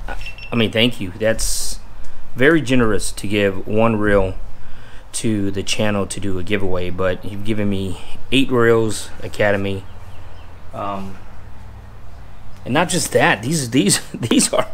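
Earnest low-voiced man unboxing in a garage. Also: a bit bright, a little hiss or hum. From Texas. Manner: halting, many restarts and fillers, earnest and grateful. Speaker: fishing channel host. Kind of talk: casual unboxing vlog. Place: open garage, indoors by the door. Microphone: camera in front at close range.

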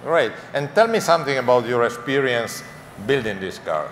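A middle-aged man with an Italian accent, presenting at a press conference in an affable, slightly halting way. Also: medium pitch, expressive, slight background noise. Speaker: company executive presenting. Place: large convention hall stage. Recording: echoey convention hall with faint ambience.

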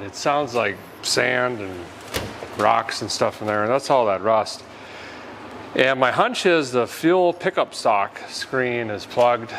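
Deadpan man muttering over garage noise. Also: medium pitch. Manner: deadpan, folksy, rambling humor with muttered asides. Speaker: host of a car repair show. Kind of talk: casual do-it-yourself garage vlog, talking while working. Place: large auto repair garage with concrete floor. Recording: clear background noise, garage ambience.

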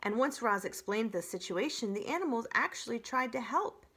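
A middle-aged woman reading a children's story aloud in an expressive storytelling style. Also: on the high side, normal speed, husky. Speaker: children's library story reader.

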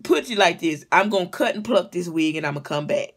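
A woman's voice: on the low side, expressive, fairly quick, slightly rough. Blunt, exasperated middle-aged woman. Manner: blunt, profane, exasperated, conversational. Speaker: vlogger and hair stylist. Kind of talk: casual comedic product rant. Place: small home room, indoors.

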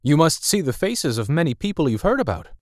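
A genteel male millionaire host in a literary audio drama. His formal speech is animated in pitch. It is recorded dry. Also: a little fast, dry recording. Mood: proudly hospitable.

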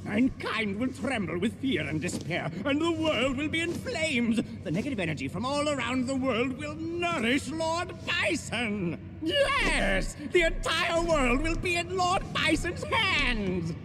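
High, fanatical villain henchman. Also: very animated, measured pace, few pauses, noisy background, full character voice. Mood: ecstatic fervor. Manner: grandiose, feverish proclaiming. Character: fanatical male henchman, theatrical, worshipful of his dark lord. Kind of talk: dramatic video game voice acting.

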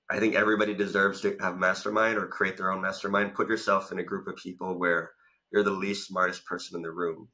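A man's voice: sits low, pushed and bright. A man in his thirties from Thailand, a guest talking casually about his business path with many "like" fillers, recorded on a video call in a home room. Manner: talkative, storytelling with many "like" fillers. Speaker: guest, business coach and founder. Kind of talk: casual interview. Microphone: studio mic in front, video call.

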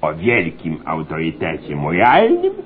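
An actor playing a blustery, greedy school inspector and old-soldier braggart in a satirical radio comedy, stammering and repeating himself. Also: male voice, extremely low pitch, huge pitch swings, measured pace, heavily acted. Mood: scheming, excitable. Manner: stammering, repetitive, erratic, exclaiming. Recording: dull narrow-band radio recording, audience behind.

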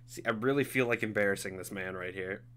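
Young male let's player, laughy casual commentary, bright forceful tone, lowish voice. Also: fast, clean tone. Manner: laughing, chatty, self-deprecating. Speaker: let's play host. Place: home room, indoors.

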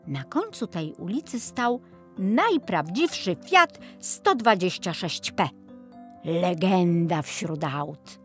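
Lady reading a kids audiobook, expressive, takes her time, music in the background. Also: fairly low pitch. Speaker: storyteller. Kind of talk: expressive children's audiobook narration.

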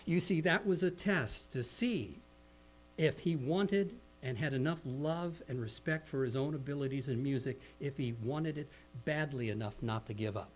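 A middle-aged man with an American accent preaching a sermon in an earnest, deliberate manner, stressing key phrases. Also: medium pitch. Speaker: pastor. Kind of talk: sermon with slides, measured public speaking. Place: indoor meeting hall at a lectern. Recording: noisy live hall recording with hum.

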